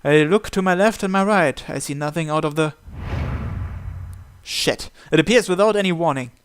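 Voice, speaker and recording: A man's voice: on the high side, normal speed, smooth. A man reading visual novel text aloud as a narrator, with game music behind him.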